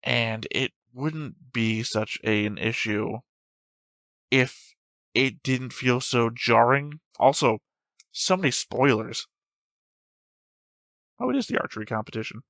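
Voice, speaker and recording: A man's voice: medium pitch, slow, long pauses, a bit bright, no echo. A man hosting an anime reaction channel, talking casually and thinking aloud in a rambling way.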